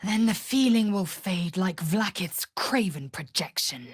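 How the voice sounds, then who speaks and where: Husky, haughty alien warrior woman. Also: on the low side, expressive, measured pace, no echo, clean studio sound. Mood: stern, haughty. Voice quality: husky, breathy rasp. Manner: clipped, imperious, formal phrasing. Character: stern, proud githyanki warrior woman, blunt and haughty. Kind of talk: dramatic fantasy video game voice acting.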